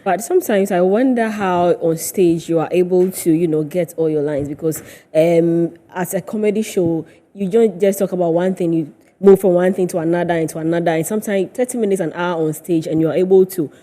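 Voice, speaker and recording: A woman's voice: lowish voice, a little fast, few pauses, mellow. A woman with a Ghanaian accent asking questions conversationally as a television interviewer.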